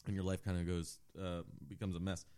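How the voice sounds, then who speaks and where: A man, a guest musician, chatting casually in a soft, halting way, often breaking into laughter. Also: sits low, little pitch movement, smooth. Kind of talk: casual chat.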